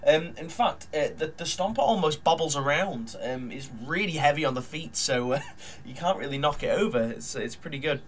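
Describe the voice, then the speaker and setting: Guy with a stuffy nose from a cold, chatty and rambling, a bit higher voice, faint hiss behind. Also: expressive, normal speed. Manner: rambling, chatty, lots of ums and uhs. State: nasal and bunged up from a cold. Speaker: hobby model builder vlogger. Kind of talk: casual narration of a build. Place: at his desk at home.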